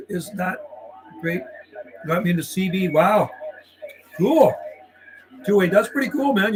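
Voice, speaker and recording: A man's voice: high voice, low-quality recording. A man working as a CB radio operator on a livestream, mixing radio calls with laid-back, friendly, slangy chat. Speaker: CB radio operator and live streamer.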